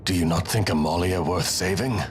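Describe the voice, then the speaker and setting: A deep-voiced, disgraced captain from a fantasy game, played by a man. He is solemn and resolute, with a grave, formal way of speaking and faint music behind. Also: normal speed, natural voice. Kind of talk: video game drama acting.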